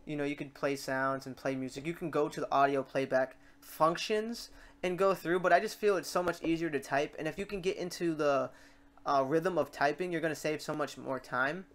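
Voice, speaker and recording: A tutorial presenter talking informally through software steps and thinking aloud while working. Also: mid-range voice, steady tone, normal speed. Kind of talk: casual screen-recorded software tutorial.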